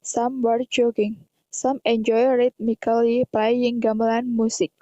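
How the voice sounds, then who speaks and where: A woman narrates a radio drama in a fairly high, soft voice with an Indonesian accent. She reads the scripted English carefully. The recording is dull and lo-fi. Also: level tone, a little slow, no room sound. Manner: careful non-native English reading.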